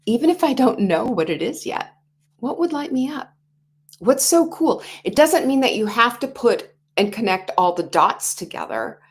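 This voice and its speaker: A woman in her sixties hosting a motivational talk show, animated, acting out quoted inner voices and dropping to whispers. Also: fairly high pitch, moderate pace. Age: 60s. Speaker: host.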